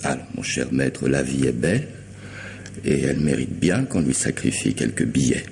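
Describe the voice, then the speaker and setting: An actor playing a sardonic, half-mad hired killer in a French radio mystery, mocking and unhurried in his false courtesy. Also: very deep voice, expressive, vocal fry, darkish tone, slight background noise, heavily acted. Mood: cajoling, sinister.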